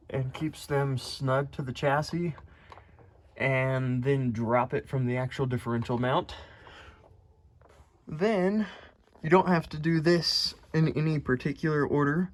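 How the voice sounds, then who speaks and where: A man giving a casual how-to walkthrough of a car repair in a home garage, explaining each step in a relaxed way with filler words. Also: medium pitch. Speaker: car mechanic vlogger. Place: home garage under a car on jack stands.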